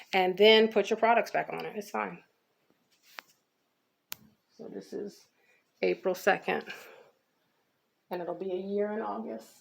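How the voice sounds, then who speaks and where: Natural hair vlogger, American woman, creaky mid-pitched voice, informal and loosely rambling delivery. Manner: informal, rambling, repeats herself. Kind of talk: casual chatty hair update.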